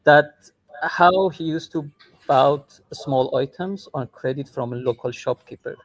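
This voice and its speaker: A man's voice: on the high side, measured pace, dry recording, low-quality recording. A man from Iran speaking conversationally as a tech co-founder and guest on a livestream call. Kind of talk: conversational self-introduction on a livestream. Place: airport, remote call.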